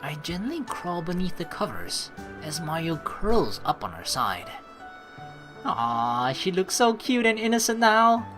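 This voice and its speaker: A young man hosting a game playthrough, reading narration aloud and adding playful, informal commentary in an expressive way. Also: high-pitched, slow. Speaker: let's play host. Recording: voice over game music.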